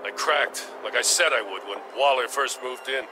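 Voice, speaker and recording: A man's voice: mid-range voice, normal speed, natural voice. An actor playing a weary middle-aged veteran policeman in a video game, plain-spoken and dry. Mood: rueful, sincere. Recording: music underneath.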